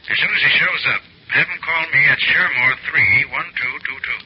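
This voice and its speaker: An actor playing a confident, shrewd private detective in 1940s radio drama style, brisk and self-assured. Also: male voice, mid-range voice, close to an everyday voice. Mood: brisk, friendly. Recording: muffled, noisy old radio broadcast.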